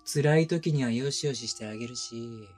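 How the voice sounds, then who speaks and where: A man playing a teasing, sadistic young twin interrogator in an ASMR roleplay, breathy and whispering close to a binaural mic, coaxing and taunting. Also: medium pitch, moderate pace, darkish tone, close to an everyday voice. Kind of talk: ASMR roleplay audio drama. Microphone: close binaural microphone.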